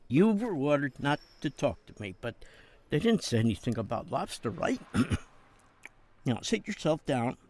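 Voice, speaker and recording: An actor playing a shrewd older politician behind bars in a game cutscene, with an American accent and a wry, coaxing, avuncular manner. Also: male voice, mid-range voice, darkish tone. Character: shrewd, smooth-talking jailed politician, older man, persuasive. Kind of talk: dramatic game cutscene acting.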